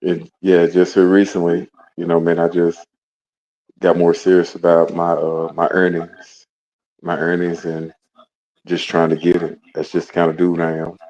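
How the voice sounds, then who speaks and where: A man in his late forties with a very low voice, chatting casually and circling back with lots of "you know". The sound is dull and lo-fi, a little muffled. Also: monotone, moderate pace, darkish tone. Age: 40s. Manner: rambling, many restarts and "you know what I mean". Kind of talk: casual chat.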